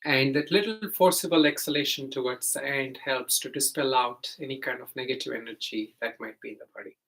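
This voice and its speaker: A man teaching guided meditation, giving gentle, simple step-by-step instructions with hesitant pauses. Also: medium pitch.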